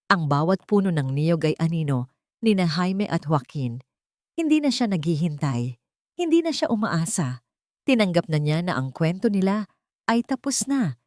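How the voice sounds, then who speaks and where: A woman with a fairly low voice narrates a dramatic story in a very dry, close recording. Her delivery is emotive and theatrical, with pitch that moves widely. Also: warm tone, no room sound.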